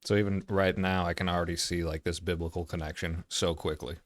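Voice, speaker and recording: Deep-voiced man, close dry reaction commentary. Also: flat delivery, fairly quick, no echo. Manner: analytical, explains what is noticed. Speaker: streamer hosting a reaction.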